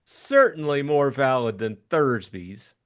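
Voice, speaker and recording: A man's voice: medium pitch, animated, slow, a bit bright, clean tone, voice pushed up. A man voicing a suave, effete male schemer from a crime novel, with formal, mannered, evasive politeness. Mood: suave, polite.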